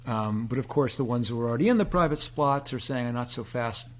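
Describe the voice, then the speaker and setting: A man hosts a podcast interview with an easygoing, folksy delivery. His pitch sits in a middle range, and his questions are loose and friendly, with plenty of pauses and fillers. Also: animated. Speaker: podcast host. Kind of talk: conversational interview.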